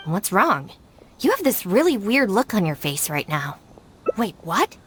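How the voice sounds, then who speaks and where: No-nonsense young woman second-in-command from a game, exacting, expressive pitch, bit of music behind. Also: a little slow. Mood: focused, matter-of-fact. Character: stern, capable young female gang deputy. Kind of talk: video game voice acting.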